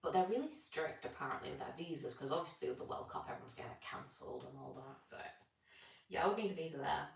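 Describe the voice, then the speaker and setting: A woman's voice: sits low, sing-song, quick pace, creaky, slight background noise. A young British woman chatting casually on a live stream while she stretches, rambling and answering comments. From Britain. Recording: echoey room, distant from mic.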